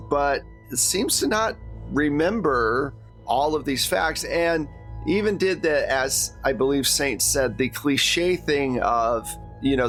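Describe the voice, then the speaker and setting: A man's voice: mid-range voice, a bit bright. A man working as game master, recapping and narrating a tabletop game in an informal, conversational way.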